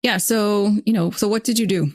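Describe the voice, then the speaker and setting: A woman's voice: mid-range voice, moderate pace, a little mellow. A woman co-hosting a podcast, asking questions and chatting in a friendly, upbeat way. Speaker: podcast co-host. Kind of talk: casual chat and interviewing.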